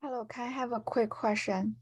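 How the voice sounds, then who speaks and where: A woman's voice: fairly high pitch, steady tone, a little fast. A woman student asking a hesitant question remotely during a lecture. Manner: hesitant, casual. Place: joining remotely over Zoom.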